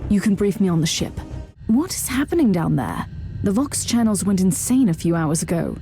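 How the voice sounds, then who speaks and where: A woman's voice: medium pitch, very animated, moderate pace, creaky, mellow, soft background music. An actress playing a stern, zealous woman inquisitor in a video game. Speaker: inquisitor, player character.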